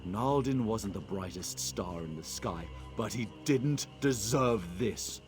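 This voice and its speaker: A man's voice: fairly low pitch, expressive, slow, darkish tone, soft background music. A man playing a surly, sarcastic townsman in a video game, brusque and bereaved. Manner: brusque, sardonic. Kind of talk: video game character acting.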